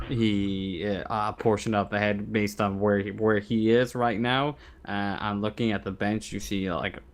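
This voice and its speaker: A man running a tabletop roleplaying game as game master, describing scenes and rules in a halting way, stumbling over words. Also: on the low side, a little hiss or hum. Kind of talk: improvised tabletop game narration and rules talk.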